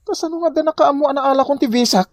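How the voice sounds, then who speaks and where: A man's voice: extremely high pitch, fast talker, a little mellow, dry recording, clean studio sound. An actor playing a flustered, aggrieved village man in Ilocano comedy drama, with an Ilocano accent.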